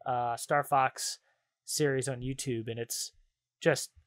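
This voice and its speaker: A man's voice: mid-range voice, steady tone, takes it slowly, dry recording, studio quality. A man chatting casually and enthusiastically as a podcast co-host, in informal slangy phrasing. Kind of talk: casual chat about games and films.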